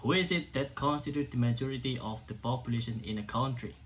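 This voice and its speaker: Defiant doctor in a stage play, acted by an amateur male reader, medium pitch, declamatory and indignant, slightly noisy recording. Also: fairly bright tone, lightly acted. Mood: defiant, emphatic. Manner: emphatic, declamatory, halting in places. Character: defiant, indignant doctor orating to a hostile crowd.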